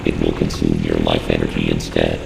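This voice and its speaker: A video game computer AI speaks in an abyssally low, flat monotone full of gravel. It is cold, formal and clinical, with robotic processing. The measured briefing delivery never warms. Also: male voice, exaggerated voice. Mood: cold, impassive. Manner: measured, impassive, formal, authoritative. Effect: synthetic robotic processing. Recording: echoey long reverb, clear background noise.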